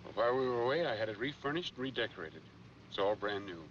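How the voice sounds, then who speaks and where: A middle-aged scheming husband in a 1940s noir film, clipped and guarded. He speaks quickly in a lowish voice on a muffled soundtrack. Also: animated, fairly bright tone, slight background noise. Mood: reassuring.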